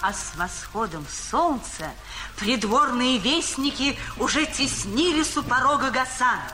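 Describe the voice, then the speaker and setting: A man narrating a fairy tale in a measured storytelling manner. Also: very high voice, lively intonation, music in the background.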